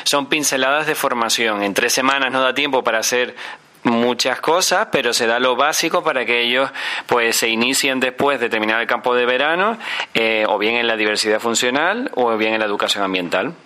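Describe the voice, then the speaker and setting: Man, educator, explanatory, hesitant fillers, bright tone. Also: medium pitch. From Tenerife, Canary Islands. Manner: explanatory, with frequent filler pauses and restarts. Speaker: environmental educator. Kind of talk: radio interview answers.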